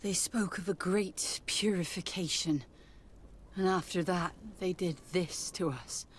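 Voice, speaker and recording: A woman's voice: lowish voice, a little slow, slight background noise, natural voice. A woman voicing an uneasy freed sailor in a video game, earnest and grave. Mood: grateful, uneasy. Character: grateful, uneasy female sailor, freed captive.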